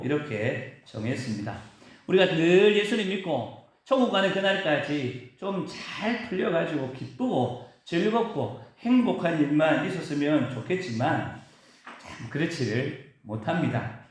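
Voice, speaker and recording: A male pastor giving a sermon in a church, preaching with emphatic rises and drawn-out stresses. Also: a little high. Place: church sanctuary. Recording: very echoey church hall.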